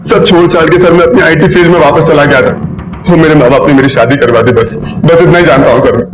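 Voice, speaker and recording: A man's voice: a little high, fairly even intonation, fast talker, lightly acted. An Indian man playing a cornered suspect under interrogation in a TV crime drama, pleading, defensive and deferential. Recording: echoey room, loud dramatic music.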